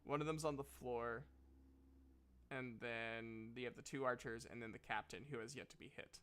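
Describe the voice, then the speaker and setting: Bright-voiced man running a tabletop role-playing game. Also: medium pitch, fairly even intonation, moderate pace, smooth. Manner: casual, hesitant, profane. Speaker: game master.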